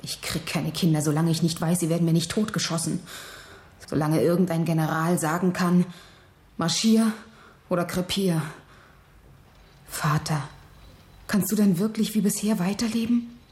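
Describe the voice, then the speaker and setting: A woman's voice: on the low side, moderate pace, long pauses, darkish tone, lightly acted. An actress playing an earnest, defiant young factory woman in a radio drama, speaking with intensity. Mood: grieving, defiant. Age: young adult. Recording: roomy, echoing interior.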